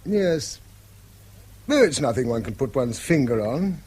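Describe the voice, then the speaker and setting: A middle-aged English father in a radio play. His voice is a little husky, with lively rises and falls. He is weary and guarded, answering in short, reserved phrases. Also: mid-range voice, a little slow. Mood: weary, terse. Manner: terse, reserved. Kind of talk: radio drama acting.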